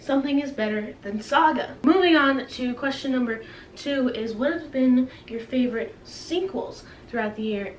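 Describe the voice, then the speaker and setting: A young woman chatting casually to camera as a book vlogger, playfully dramatic and self-deprecating. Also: fairly high pitch. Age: young adult. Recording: roomy, distant mic with faint hiss.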